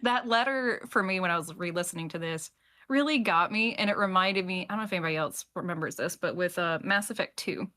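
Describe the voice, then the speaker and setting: Female podcast host, bright tone, casual and gushing, prone to acted asides. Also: on the low side, fast talker. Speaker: host of a fiction podcast discussion. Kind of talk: casual panel chat.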